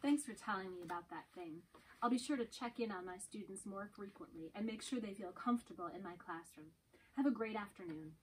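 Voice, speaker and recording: A woman's voice: medium pitch, a little fast, natural voice, poor audio. A woman reading the part of a weary, cynical female teacher in an amateur script reading. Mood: friendly. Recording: faint background noise, clicks.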